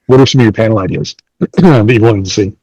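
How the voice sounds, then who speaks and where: Low-voiced man chatting casually on a podcast. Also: a little fast, warm tone, no echo, muffled recording. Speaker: podcast host. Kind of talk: casual chat.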